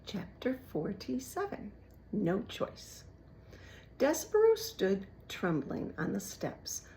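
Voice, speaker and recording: Expressive slow-paced woman reading a storybook. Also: medium pitch, creaky, slight background noise, low-quality recording. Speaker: children's book reader. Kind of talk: expressive storybook read-aloud.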